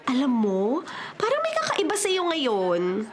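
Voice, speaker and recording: A woman's voice: sits high, huge pitch swings, slow pace, a little hiss or hum, heavily acted. A Filipino woman playing a friendly, gossipy office co-worker in a radio drama, mixing English slang into breezy chat. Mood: chatty, curious. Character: chatty, friendly female office co-worker who senses spirits.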